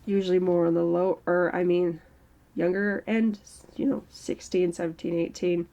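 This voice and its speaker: A woman in her early thirties talking slowly and reflectively in a personal vlog, with a strained throat. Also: fairly low pitch, little pitch movement, dark tone. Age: early 30s. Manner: slow, halting, reflective. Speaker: channel host. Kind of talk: personal mental health vlog monologue.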